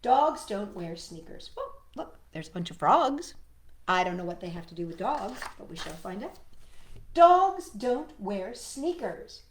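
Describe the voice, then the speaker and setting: A woman's voice: mid-range voice, very animated, a little slow, roomy sound, a little hiss or hum. A woman hosting a storytime for young children, reading and singing in a playful way and addressing her listeners directly. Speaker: children's storytime host with a puppet sidekick.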